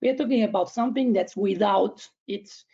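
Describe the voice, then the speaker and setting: A man in his thirties with an Italian and Spanish accent, presenting an online academic seminar in a halting way, with many fillers and restarts, over a video call from home. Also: high-pitched, darkish tone. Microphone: laptop webcam, video call. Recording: dull lo-fi video call audio.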